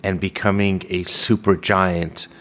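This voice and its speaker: A man in his fifties, born in Iran, telling his life story in a measured, reflective way during a podcast interview. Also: deep voice, flat delivery, fairly bright tone. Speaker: podcast host being interviewed. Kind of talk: conversational interview.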